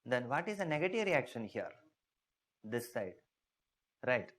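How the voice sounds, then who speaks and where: A man's voice: mid-range voice, big pitch swings, unhurried. A man with an Indian English accent giving a classroom history lecture, emphatic and often asking the class whether they follow. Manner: emphatic, asks checking questions often. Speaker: history teacher.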